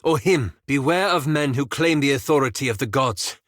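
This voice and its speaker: An actor voicing a prim, bookish elf wizard in a fantasy video game, speaking formally and precisely with dry sarcasm. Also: male voice, mid-range voice, normal speed, a bit bright, no room sound, lightly acted.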